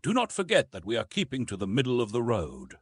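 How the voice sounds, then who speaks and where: A man's voice: mid-range voice, huge pitch swings, brisk, slightly rough, no echo, exaggerated voice. A man voicing an anxious, cautious young English lady in an audiobook adventure story. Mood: hushed warning.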